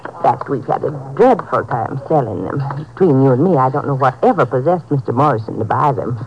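A fussy, gossipy middle-aged saleswoman in a 1950s radio drama. Her voice swoops up and down as she hesitates and chatters, eager to help. The old recording is dull and clearly noisy. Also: very deep voice, animated, fairly quick, a little mellow. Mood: chatty, thoughtful.

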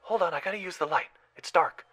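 A man's voice: high voice, quick pace, a bit bright, soft background music, voice pushed up. A man voicing a nervous, profane young woman thief in a story, whispering and slangy. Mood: hushed, uneasy.

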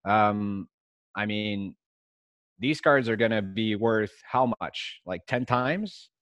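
A man's voice: lowish voice, slow pace, a bit bright, no echo. A man whose first language is French, giving casual market commentary as a guest investor and collector.

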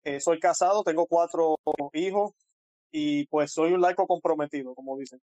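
A man with a Puerto Rican accent, a guest speaking in a halting way with frequent pauses over a remote call. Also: a little high, steady tone, a little mellow, no echo. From Puerto Rico. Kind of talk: casual interview talk.

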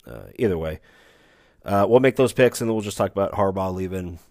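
A man's voice: a little fast, darkish tone, no echo. A man hosting a casual solo podcast, rambling with dry, self-deprecating humor in a soothing voice. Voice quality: soothing low voice. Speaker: podcast host. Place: recording remote, away from studio.

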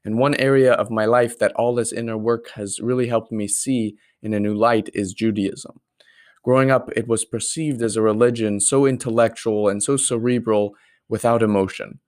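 A man with a fairly low voice hosts a podcast, talking earnestly and reflectively. His sound is dry and close on the mic. When he asks questions he halts often, with fillers and restarts. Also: no room sound. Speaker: podcast host. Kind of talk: podcast intro and interview.

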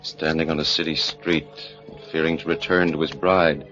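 A man's voice: rumbling low, little pitch movement, normal speed, fairly bright tone, soft background music. A man narrating a 1940s radio thriller as an ominous, solemn, portentous announcer. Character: ominous mystery-show narrator. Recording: muffled vintage radio recording.

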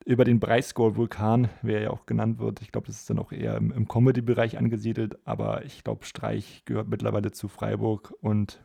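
A man's voice: on the low side, little pitch movement, fairly quick, vocal fry, few pauses, dark tone, husky, no room sound, clean studio sound. A man chatting casually about football as a podcast co-host, hesitant and repeating words as he thinks. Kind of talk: casual conversational football discussion.

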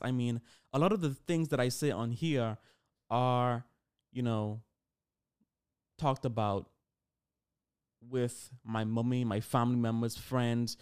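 A man's voice: medium pitch, a little mellow, clean tone. An eighteen-year-old man hosting a solo podcast, talking casually and informally, often correcting himself as he goes. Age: 18 years old. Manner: informal, conversational, self-correcting. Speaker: podcast host.

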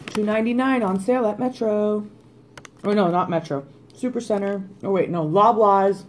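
A woman co-hosting a podcast, chatting casually, animated and jokey, swearing freely. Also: mid-range voice, moderate pace, a little hiss or hum. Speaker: podcast co-host. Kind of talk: casual chat.

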